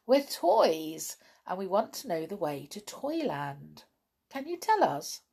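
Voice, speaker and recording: A woman's voice: on the low side, very animated, slow pace, vocal fry, darkish tone, husky, full character voice. A woman voicing a cheerful, polite male sailor doll from a children's storybook. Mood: friendly. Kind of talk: children's storybook read aloud.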